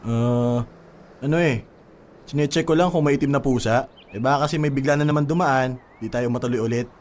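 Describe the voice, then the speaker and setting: A man playing an earnest, devoted young boyfriend in a Tagalog radio drama, gentle and sincere, with a Filipino accent. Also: medium pitch, a little fast, slight background noise, lightly acted. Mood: casual.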